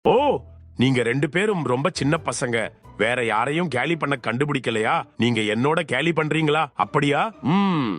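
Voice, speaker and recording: A man playing a sly, greedy swindler in an animated cartoon, talking in a scheming, swaggering way. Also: fairly high pitch, moderate pace, soft background music, close to an everyday voice. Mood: scoffing. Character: sly greedy male swindler selling temple land. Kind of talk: animated cartoon dub acting.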